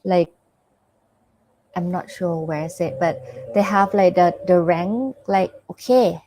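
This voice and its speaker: Slow, hesitant Thai-accented woman teacher. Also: lowish voice, warm tone, slight background noise, low-quality recording. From Thailand. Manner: hesitant, searches for words with many fillers. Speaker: Buddhist nun teacher. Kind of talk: dharma teaching with live Q&A.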